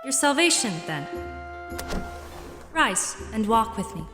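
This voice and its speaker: A woman plays a childlike, ageless spirit in a radio play. The voice is fairly high, serene and commanding, ringing with echo over music. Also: big pitch swings, slow pace. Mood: gently commanding. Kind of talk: radio drama acting. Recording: echoey hall reverb.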